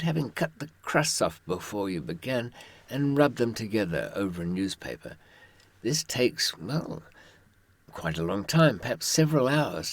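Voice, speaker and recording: A man with a husky voice telling first-person stories and advice informally, with wry, understated humour. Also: lowish voice, dry recording. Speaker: author and former prisoner giving advice.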